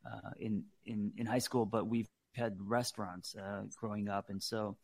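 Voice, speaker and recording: Korean-born guy hosting, lowish voice, lots of uh and you know, supportive. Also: flat delivery, measured pace, a little mellow, husky. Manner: encouraging, affirming, many fillers. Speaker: podcast host. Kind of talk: casual interview.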